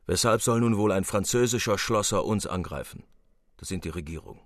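Older army buddy, radio play, really low voice, calm down-to-earth reasoning, no room sound. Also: male voice, no room sound, studio quality. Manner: measured, thoughtful, matter-of-fact. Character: Kat, seasoned older soldier, calm, sensible, down-to-earth.

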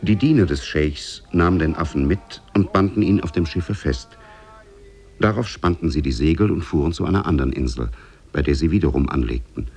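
A very deep-voiced storyteller tells an old oriental tale for radio. His husky, gravelly voice moves through the scripted narration with measured deliberation. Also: darkish tone, exaggerated voice. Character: male storyteller of an Arabian Nights tale.

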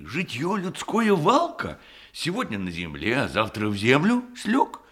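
An actor playing a jovial, boastful older hussar officer, a grey-moustached widower, in a verse comedy radio play with hearty, teasing declamation. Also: male voice, medium pitch, huge pitch swings, measured pace, fairly bright tone. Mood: bluff, rueful.

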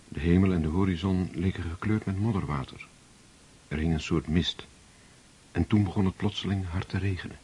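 A man playing the solemn narrator of a war tale in a radio drama, measured and literary. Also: deep voice, a little mellow, slightly rough.

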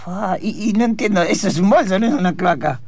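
A man's voice: high voice, brisk, a little mellow. A man playing an affable, bumbling police officer, polite and hesitant, repeating himself in improvised radio comedy. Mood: puzzled, placating.

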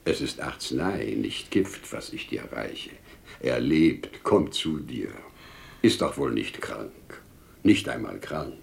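An actor playing a wise, shrewd older father in a 1950s German radio play, theatrical and rhetorical, dwelling on words with dramatic pauses. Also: medium pitch, sing-song. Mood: soft, soothing. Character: wise older merchant father, male, shrewd, teasing yet earnest.

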